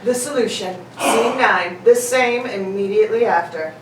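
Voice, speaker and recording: A woman's voice: mid-range voice, normal speed. A woman reading out stage directions as scripted narration for a comedic radio play. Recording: echoey room, distant mic, loud background noise.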